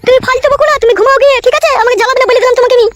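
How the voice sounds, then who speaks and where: A woman voicing a young wife in a comic cartoon, shrill and snappish with a defiant streak. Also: fairly even intonation, very fast, no echo, faint music behind. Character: defiant young wife, meek toward her father-in-law.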